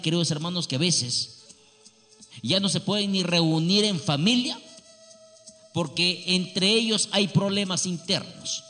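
Man, emphatic preaching pitch, slightly high voice, bright tone, faint music behind. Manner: emphatic, repetitive, pleading appeals. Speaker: healer advertising his services. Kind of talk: preaching-style radio pitch.

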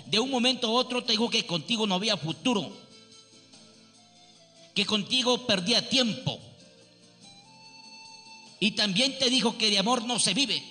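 Bright preachy radio healer man. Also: high-pitched. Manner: preachy, emphatic, repetitive. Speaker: radio faith healer host. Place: radio studio. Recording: radio broadcast with reverb and music.

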